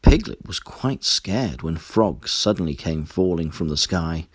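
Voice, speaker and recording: A man narrating a children's story in an expressive, deliberate storytelling manner. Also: deep voice, breathy edge, no room sound. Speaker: storybook reader.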